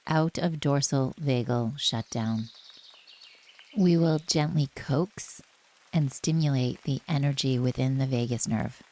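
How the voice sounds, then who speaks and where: A woman guiding a relaxation meditation in a gentle, soothing manner, speaking in short phrases with long pauses. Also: a bass voice. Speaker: yoga nidra guide. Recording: steady rain noise behind the voice. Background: rain ambience.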